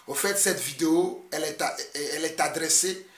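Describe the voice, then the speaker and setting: A man's voice: on the high side, normal speed, reverberant, recorded from a distance. A man from Togo with a Togolese French accent, a preacher whose first language is Mina or Ewe, speaking earnestly in an online sermon video and repeating phrases as he exhorts.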